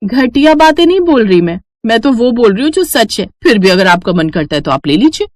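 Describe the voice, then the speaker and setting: A woman voicing a sharp-tongued, teasing young sister-in-law in a cartoon story, with a mocking, needling delivery. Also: fairly high pitch, sing-song, fairly quick, no echo, clean studio sound. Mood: scolding.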